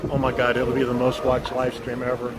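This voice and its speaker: A man walks along a beach and city streets, joking with the viewers of his live stream and teasing them by name. His voice sits at an average pitch and comes through a handheld phone. Loud traffic and water noise run under everything. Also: completely flat delivery, brisk. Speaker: livestream host. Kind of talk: casual live-stream chat while walking.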